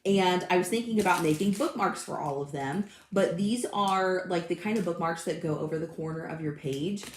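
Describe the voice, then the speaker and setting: Chatty, slightly hoarse woman crafter. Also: fairly low pitch, moderate pace, echoey room, a little hiss or hum. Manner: chatty and friendly, greets live commenters by name. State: slightly hoarse, recovering from illness. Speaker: craft channel host. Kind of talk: casual livestream chat. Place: home craft room.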